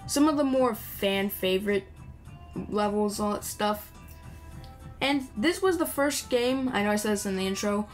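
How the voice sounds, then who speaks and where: A woman giving casual, playful, rambling commentary while playing a video game. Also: mid-range voice.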